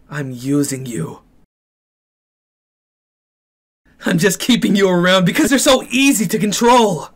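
A slow-spoken, manipulative young man acted out by a male performer in an anime-style ASMR roleplay. He is a possessive boyfriend with delusions of grandeur, deliberate and coldly controlling in every phrase. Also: fairly high pitch. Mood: cold, calculating.

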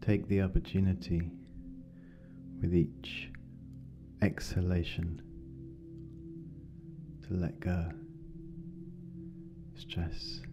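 Gentle meditation guide, really slow n pausing, kinda dull lo-fi audio with music under it. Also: sits low, level tone. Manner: gentle, unhurried, with long pauses. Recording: dull lo-fi sound, music behind.

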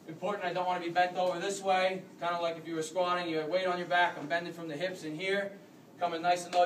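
Echoey distant coach demonstrating drills. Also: male voice, sits high, fairly bright tone, a little hiss or hum. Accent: American. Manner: explanatory, demonstrating while moving. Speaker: rugby coach. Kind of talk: demonstration clinic. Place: large carpeted conference room with a crowd standing around. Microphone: phone camera from across the room. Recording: roomy echo, distant from the mic.

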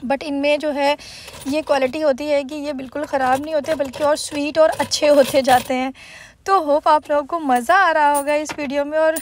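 A woman's voice: high voice, steady tone, moderate pace, slight background noise. A woman from India narrating a casual vlog, chatting easily and dropping English words into her talk. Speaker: vlogger.

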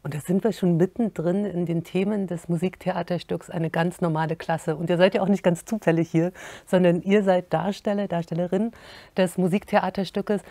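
A middle-aged woman moderating a panel, briskly introducing topics and firing off several questions at once. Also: fairly low pitch, steady tone, warm tone, dry recording.

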